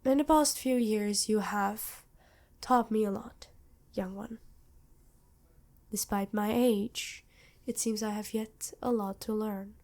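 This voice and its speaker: Mellow, motherly vampire queen, acted by a woman. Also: medium pitch, steady tone. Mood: fond, reluctant. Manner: formal, measured, tender speech with pauses. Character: centuries-old vampire queen, motherly, regal, protective and worried. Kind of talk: ASMR roleplay monologue.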